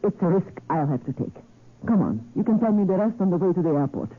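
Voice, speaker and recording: An actress playing a determined, crisp young woman secret agent in a 1940s radio drama. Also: lowish voice, animated, quick pace. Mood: resolute. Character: determined, daring woman secret agent in her late twenties. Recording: tinny vintage radio, faint music.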